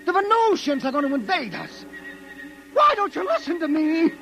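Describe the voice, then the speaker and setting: An actor playing an agitated, melodramatic man in a 1950s radio drama, pleading to be believed, with a husky voice. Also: extremely high pitch, huge pitch swings, moderate pace, over music, heavily acted. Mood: frantic. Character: frantic, disbelieved man warning of alien invasion.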